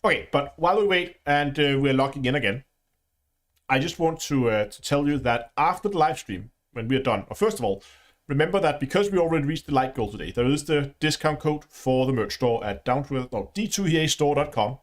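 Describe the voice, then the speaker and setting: A man's voice: mid-range voice, lively intonation, brisk. A man with a Danish accent hosting a casual gaming livestream, chatting with viewers and laughing often. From Denmark. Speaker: livestream host.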